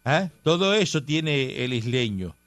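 A man's voice: medium pitch, sing-song, a little slow, fairly bright tone, no echo. A man from Cuba hosting a casual radio talk show, rambling and emphatic with many fillers. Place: radio studio.

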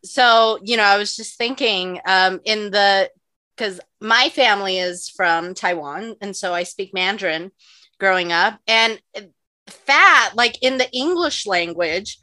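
A woman's voice: mid-range voice, a little slow, pushed and bright, no room sound. A woman co-hosting a podcast, chatting casually about nutrition with lots of "like" and "you know" and frequent laughter. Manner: laughs often. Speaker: podcast co-host.

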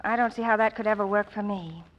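An actress playing a wistful, hesitant young woman just out of prison, in 1940s radio drama style, soft and halting. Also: medium pitch, lo-fi sound, close to an everyday voice. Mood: wistful, downcast. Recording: old radio recording, long reverb.

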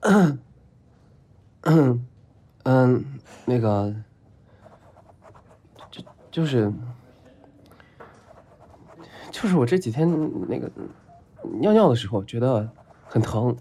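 Flustered young man, swooping pitch. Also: slow pace, pauses often. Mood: embarrassed, hesitant. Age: young adult. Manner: hesitant, stammering, melodramatically excitable. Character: flustered, lovestruck, needle-phobic young man, a patient. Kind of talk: audio drama acting with inner monologue. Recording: roomy echo, music behind.

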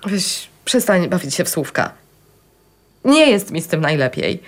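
A woman's voice: on the low side, big pitch swings, unhurried. An actress playing an anxious, defensive, stubborn middle-aged wife and mother in a naturalistic radio drama, answering in curt, indignant retorts. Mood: hurt, indignant.

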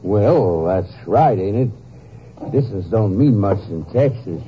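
A cagey rural crook from an old radio drama. He is deep-voiced and drawls out folksy, defensive answers very slowly. The recording is dull and muffled. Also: animated, a little hiss or hum. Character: cagey, slow-talking rural crook feigning innocence. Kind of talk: 1950s radio drama acting.

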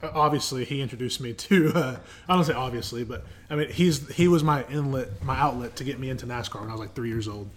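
A man's voice: mid-range voice, fast talker, hardly any pauses, a little hiss or hum. A man from the United States co-hosting a podcast, speaking informally and emphatically in casual discussion. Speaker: podcast co-host.

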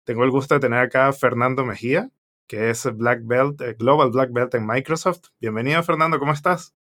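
A man's voice: medium pitch, fairly quick, fairly bright tone. A man hosting a podcast interview, talking casually with frequent hesitations and restarts. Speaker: podcast host.

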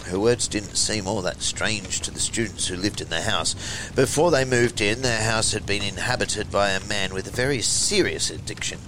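A man hosting a horror show, reading tales and listener letters in a theatrical, deliberate way. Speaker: host and reader of a horror anthology show. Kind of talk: expressive storytelling and letter reading. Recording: low rumbling noise behind the voice.

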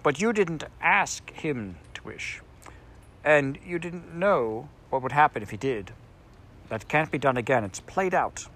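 A man's voice: on the high side, expressive, a little slow, fairly bright tone, pitched above the performer's own voice, heavily acted. A man voicing a grumpy, self-important magic sand creature in an audiobook, speaking in a cross, snappish way. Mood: impatient. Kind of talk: audiobook character voice.

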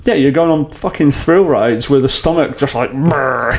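Gravelly blunt man chatting. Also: medium pitch, moderate pace. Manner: blunt, swears casually, laughs often. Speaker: podcast co-host. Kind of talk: casual chat.

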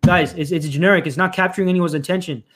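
A man's voice: sits high, fairly even intonation, fast, fairly bright tone. A man hosting a live stream as a career coach, giving emphatic advice to job seekers, often repeating himself and calling his audience "folks.". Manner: frequent restarts and filler "uh".

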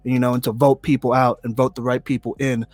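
A man's voice: mid-range voice, flat delivery, a little fast, darkish tone, no room sound. A man co-hosting a podcast, talking earnestly about voting and change, with lots of "you know" fillers. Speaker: podcast co-host. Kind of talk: casual opinion talk.

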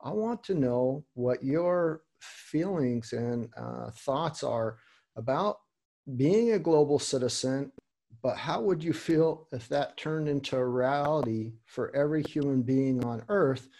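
A man's voice: mid-range voice, slow pace, vocal fry, dark tone. A man hosting a podcast, asking long, winding questions with fillers. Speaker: podcast host. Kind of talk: interview questions.

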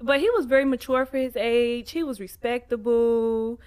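A woman's voice: a little high, normal speed, a little mellow, smooth. A woman in her twenties, a podcast guest, telling a story animatedly with quoted lines. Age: 20s. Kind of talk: casual storytelling.

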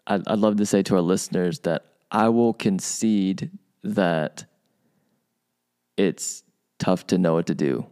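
A man who grew up in Virginia, co-hosting a podcast in relaxed conversation, thoughtful and full of restarts and asides. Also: low voice, a little slow, long pauses, clean tone. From United States, grew up in Virginia. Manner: thoughtful, many restarts and asides, animated when quoting. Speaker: podcast co-host. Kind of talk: casual conversational chat.

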